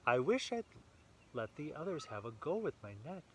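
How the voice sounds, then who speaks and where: A man's voice: a little high, big pitch swings, normal speed, a little hiss or hum, pitched above the performer's own voice. A man voicing an eager, wistful young girl animal in a storybook, with a childlike manner. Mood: regretful.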